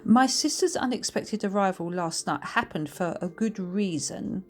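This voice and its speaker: A woman's voice: mid-range voice, expressive, measured pace, darkish tone, close to an everyday voice. A woman voicing a cautious, easily flabbergasted titled lady with a guarded, dramatic delivery in a storybook reading. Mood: cautious, confiding.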